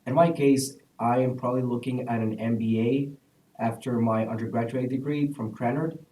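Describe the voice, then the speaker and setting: Mellow young man from El Salvador, roomy sound. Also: mid-range voice, steady tone, moderate pace. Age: young adult. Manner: earnest, recommending things with frequent "uh" fillers. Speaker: student panelist. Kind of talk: casual panel answers. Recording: echoey room, distant mic.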